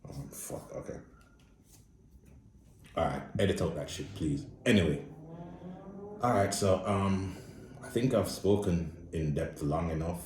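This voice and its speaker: A man's voice: low voice, unhurried, long pauses, a little mellow, roomy sound, recorded from a distance, a little hiss or hum. A man with a Jamaican accent giving a blunt, profane, casual movie review. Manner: blunt, profane, speaks straight with no filter. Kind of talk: casual opinionated review monologue.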